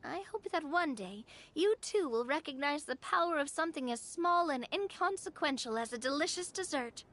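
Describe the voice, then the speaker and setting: A woman's voice: sits high, lively intonation, normal speed, a bit bright. A woman voicing a cheerful, optimistic young woman game character who talks in a bubbly, chatty way. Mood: reassuring. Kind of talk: video game voice acting.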